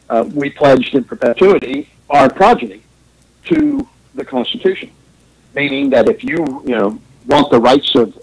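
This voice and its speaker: Guy raised in japan doing talk radio, low husky voice, rambly with little laughs. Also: low-quality recording. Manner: rambling, with fillers and wry chuckles. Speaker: radio show host. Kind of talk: opinionated talk radio monologue.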